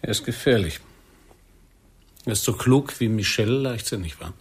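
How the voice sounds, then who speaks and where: An actor playing a serious, protective secret agent in a radio play, quiet and grave with a gravelly husky voice. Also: male voice, lowish voice, animated, normal speed. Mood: grave, cautioning.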